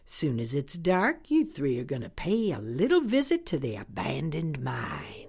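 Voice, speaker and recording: A woman's voice: sits low, wildly swooping pitch, a little slow, background noise, exaggerated voice. A woman playing a bossy old outlaw mother fish with an in a cartoon audio drama. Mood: bossy, menacing. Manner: bossy, threatening.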